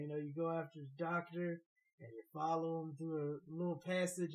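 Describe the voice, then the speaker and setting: A man's voice: fairly high pitch, low-quality recording. A man giving casual gaming commentary in a rambling, argumentative way, with some swearing. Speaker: commentator on a video game let's play.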